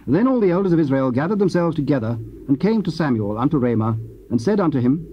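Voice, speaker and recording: A man narrating scripture solemnly, in a formal, measured manner. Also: fairly high pitch, sing-song. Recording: muffled, hissy old cassette recording.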